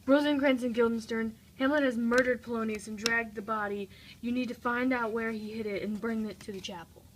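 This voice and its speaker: A woman's voice: a little high, steady tone, brisk, slight background noise, close to an everyday voice, rough recording. A woman playing a scheming, guilty, self-protective king in an amateur puppet-show play. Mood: urgent.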